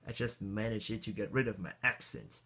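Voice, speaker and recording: A man's voice: lowish voice, moderate pace, pushed and bright. A man from Austria chatting casually as he tinkers with an old computer, rambling to himself and chuckling now and then. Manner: rambling, self-talk while tinkering, chuckles often. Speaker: vintage computer hobbyist. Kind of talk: casual hands-on tech vlog.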